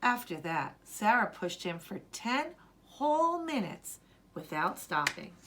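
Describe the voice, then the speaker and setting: A woman narrating a children's story as a storyteller, with deliberate, expressive delivery. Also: mid-range voice, noisy background.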